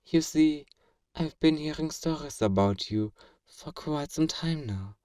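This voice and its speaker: Man acting a slow, suave fantasy villain, teasing. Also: on the high side, expressive, warm tone, clean studio sound. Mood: musing, intrigued. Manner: measured, amused, condescendingly courteous, chuckling. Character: feared male fantasy villain, suave, teasing, flirtatiously obsessive. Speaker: voice actor performing a roleplay. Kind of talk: ASMR roleplay acting. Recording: roomy echo, distant from the mic.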